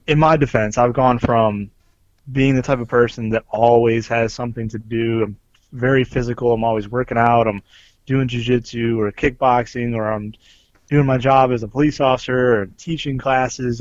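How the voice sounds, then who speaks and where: A man of middle pitch who co-hosts a podcast and explains things earnestly and at length, filling his pauses with ums. His voice comes through dull and lo-fi, in a dry, close recording. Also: dry recording. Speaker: podcast co-host, police officer. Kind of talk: casual chat.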